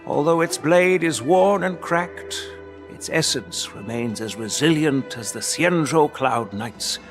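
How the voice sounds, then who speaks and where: An actor playing a dignified elderly grandfather and general in a video game, formal, measured and authoritative. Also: medium pitch, lightly acted.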